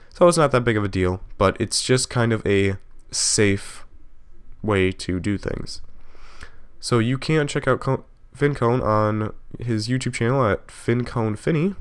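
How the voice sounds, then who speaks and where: A man narrating a software tutorial casually, explaining step by step in an informal way and correcting himself as he goes. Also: lowish voice, measured pace, clean tone. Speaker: video tutorial host.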